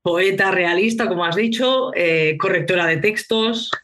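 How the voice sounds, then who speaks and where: A woman's voice: lowish voice, measured pace, fairly bright tone. A woman hosting a radio interview, asking questions with many hesitant fillers. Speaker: radio show host. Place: radio studio.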